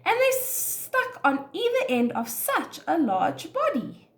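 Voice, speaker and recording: A short fat storybook python, played by a woman, high and giggly. The teasing is lively and sing-song, heard in an echoey room. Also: slow pace, exaggerated voice. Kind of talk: children's storybook read-aloud.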